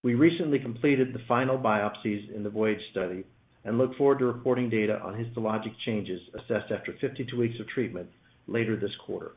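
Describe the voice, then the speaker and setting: A man in the role of company executive reads a scripted earnings presentation in a formal, measured way. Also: fairly low pitch, phone-quality sound. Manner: formal, measured read-out delivery. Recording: roomy, slightly band-limited conference call line.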